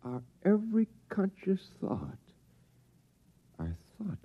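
A brooding, agitated husband in a vintage radio play. His voice is high for a man and swings widely in pitch, with a dull, old recording around it. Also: wildly swooping pitch, slow pace, slightly rough, full character voice. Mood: brooding, resolved. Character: brooding, agitated husband hatching a desperate plan. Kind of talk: old-time radio drama acting.

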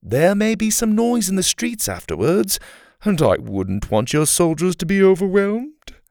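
A man's voice: big pitch swings, a little slow, a little mellow, full character voice. A man putting on a smug, taunting villain. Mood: gloating. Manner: gloating, theatrical, high mocking tone. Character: smug scheming male rebel leader, silky and taunting.

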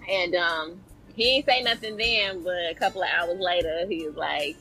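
A woman's voice: lowish voice, normal speed, sharp tone, soft background music, poor audio. A woman co-hosting a comedy podcast, telling a personal story bluntly and matter-of-factly. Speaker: podcast co-host. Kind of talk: casual comedic podcast chat.

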